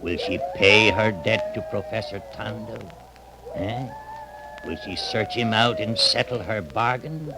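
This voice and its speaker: An actor playing an ancient cackling hermit who tells horror tales in a slow, theatrical 1930s radio manner. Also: male voice, huge pitch swings, exaggerated voice. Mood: gleeful, menacing. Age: older. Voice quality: cackling, breaking into high shrieks. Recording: very noisy scratchy 1930s radio recording.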